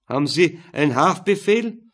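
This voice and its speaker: A man's voice: on the high side, expressive, moderate pace, fairly bright tone, pitched above the performer's own voice. A man voicing a smug, unruffled male gangster in an audiobook, mocking and nonchalant.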